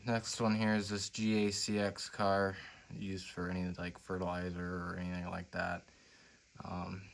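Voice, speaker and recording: Low, raspy, monotone American man. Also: slight background noise. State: slightly raspy voice today. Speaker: model railroad video host. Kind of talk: casual unscripted showcase talk.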